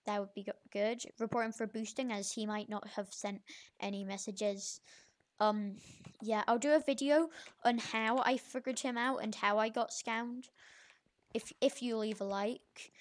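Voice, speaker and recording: A young video creator with an informal, halting, self-correcting way of talking, giving a casual game tutorial. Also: medium pitch, fairly even intonation. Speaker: gaming video creator, host.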